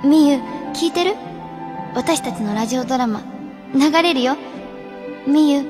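An actress playing an earnest, determined high school girl in a radio drama. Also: measured pace, music in the background. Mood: elated.

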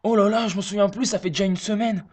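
A man's voice: high-pitched, steady tone, fast talker, a crowd in the background, close to an everyday voice. A man playing a confused, stubborn elderly customer, hesitant and naive, in a prank phone call. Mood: naive, puzzled. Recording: phone line with noise.

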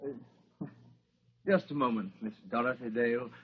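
An actor playing a haughty, evasive young lawyer with a pompous, fussy manner in 1940s radio style. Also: male voice, medium pitch, steady tone, unhurried, breathy edge. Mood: haughty, irritated. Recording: muffled old radio broadcast.

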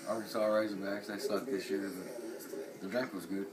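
A drunk young man joking and rambling rowdily while filming a late-night party in a small home bar room, swinging between shouts and mumbles. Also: on the low side, steady tone, moderate pace, heavy background noise. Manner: rowdy, slurring, joking, often shouting or mumbling.

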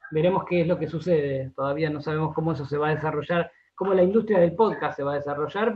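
An Argentine man being interviewed on radio, explaining in a halting, conversational way and often repeating his words. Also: a little high, phone-quality sound. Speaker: interview guest, radio drama director and writer.

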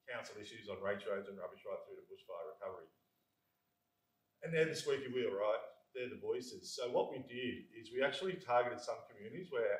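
Blunt middle-aged Australian man, low voice. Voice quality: loud voice. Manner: blunt, candid and self-deprecating. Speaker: community recovery worker. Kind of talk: informal talk to a seminar audience. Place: university seminar room. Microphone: far room camera. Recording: echoey room from far off.

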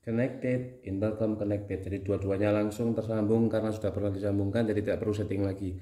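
A man's voice: lowish voice, steady tone, a little fast, warm tone. A man with a Javanese Indonesian accent, giving a casual how-to demonstration as a tutorial host. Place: indoors at home, small room.

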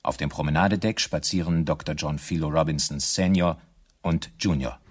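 A man's voice: extremely low pitch, fairly even intonation, moderate pace, a little hiss or hum. A man narrating a German radio drama, reading scripted scene-setting in the present tense.

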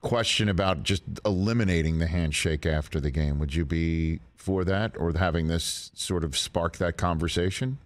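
Low-voiced guy hosting a studio sports show, quick questions to a caller. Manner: brief prompts and short agreements. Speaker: sports talk show host. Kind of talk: interviewing a caller. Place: studio with desk mic.

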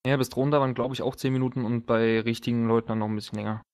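Male game master, average pitch, informal slangy table talk. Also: level tone, racing, a little mellow.

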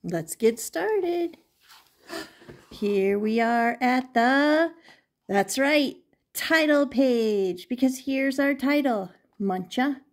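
An older woman with a slightly high voice reads a story to young children. She takes it very slowly, with emphatic, expressive delivery, repeating phrases and inviting listeners to join in. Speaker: grandmother reading picture books to children. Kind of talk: read-aloud storytelling for young children, with interactive questions.

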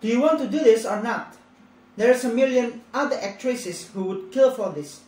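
Bossy, fed-up director played by a woman, fairly high voice, roomy video-call sound. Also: moderate pace, darkish tone, close to an everyday voice. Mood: exasperated. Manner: curt, commanding. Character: exasperated, bossy film director. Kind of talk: amateur dramatic reading.

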